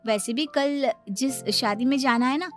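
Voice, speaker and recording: A woman's voice: sits high, a little slow, faint music behind, voice pushed up. A woman voicing a naive, brutally honest young daughter-in-law in a cartoon, sweet, polite and matter-of-fact. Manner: innocent, matter-of-fact, hesitant openers.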